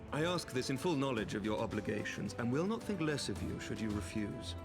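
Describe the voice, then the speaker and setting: Actor as a noble, measured knight commander. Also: male voice, medium pitch, expressive, natural voice. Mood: gracious, earnest. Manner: formal, measured, eloquent. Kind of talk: scripted video game cutscene acting. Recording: music behind the voice.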